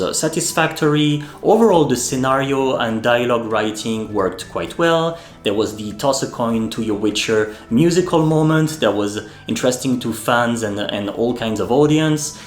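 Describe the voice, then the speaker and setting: A man, a native French speaker, hosting a video essay and speaking in a measured way, often pausing with fillers and restarting his sentences. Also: medium pitch. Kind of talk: spoken commentary essay. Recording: echoey room with faint music.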